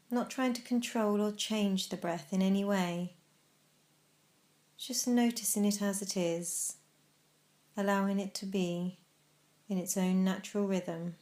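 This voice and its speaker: A woman narrating a guided mindfulness meditation, giving gentle, measured instructions with pauses. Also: medium pitch, steady tone.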